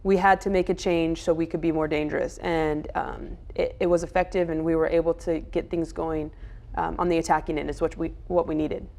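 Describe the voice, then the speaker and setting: A woman's voice: deep voice, flat delivery, fairly quick, vocal fry, darkish tone. An American woman who is a college soccer head coach, answering questions in a sports recap interview.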